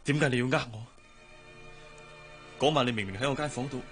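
An actor as a lovesick Hong Kong employer in a radio play, his voice of medium pitch over clear background music. He is wounded and insistent, pressing for the truth. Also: a little fast, fairly bright tone, lightly acted. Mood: insistent, hurt. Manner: pleading, intense questioning. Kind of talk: Cantonese radio drama acting.

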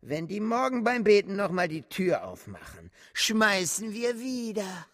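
A man's voice: high-pitched, very animated, a little slow, a bit bright, heavily acted. A man acting a brazen, sly reservist patient in a German radio drama, speaking with cheeky emphasis. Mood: sly, amused. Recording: long hall reverb.

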